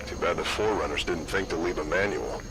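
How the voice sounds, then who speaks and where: Deep-voiced, sardonic soldier. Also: male voice, fast talker, noisy background. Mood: wary, dry. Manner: dry, sardonic. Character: plainspoken, wary male soldier, dryly sardonic. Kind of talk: video game cutscene acting. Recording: loud music behind. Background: loud game music.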